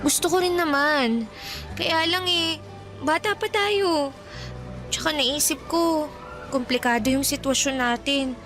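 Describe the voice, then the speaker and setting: Radio drama role: young girl in first love, very high voice, practical, gentle, music underneath. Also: measured pace, long pauses. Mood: wistful, resigned. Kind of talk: Tagalog radio drama acting.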